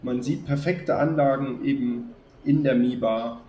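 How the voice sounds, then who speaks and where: A man with a cold, addressing his viewers in a calm, chatty way as he narrates a hobby video. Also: mid-range voice, a little slow, dull audio. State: has a cold. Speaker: model railway YouTuber. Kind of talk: casual spoken video narration.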